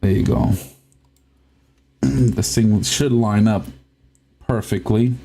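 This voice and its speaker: Slow, laughing man chatting on a live stream. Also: on the low side, vocal fry, slight background noise. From Puerto Rico. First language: Spanish. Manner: laughs often, stumbles over words, chatty and relaxed. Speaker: livestream host. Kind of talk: casual live-stream chat while repairing a console.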